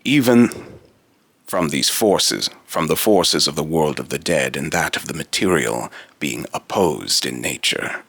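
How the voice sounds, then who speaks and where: A man voicing a solemn, otherworldly immortal being in a deep, raspy robotic voice, with grandiose, archaic, halting phrasing in a dramatic monologue. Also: animated, a bit bright, no room sound, heavily acted. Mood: solemn, instructive.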